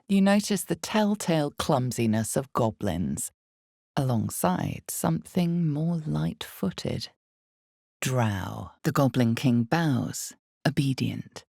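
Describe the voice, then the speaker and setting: A woman performing as an ominous storyteller in a dark fantasy game, narrating in a deliberate, suspenseful, theatrical way with breathy drops toward a whisper. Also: sits low, no echo, studio quality, full character voice. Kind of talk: dramatic second-person fantasy game narration.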